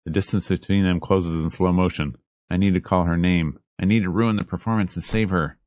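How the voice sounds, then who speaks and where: A man reading a visual novel aloud as a let's player, in measured, dramatic storytelling narration over game music. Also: deep voice, fairly even intonation, darkish tone, no echo.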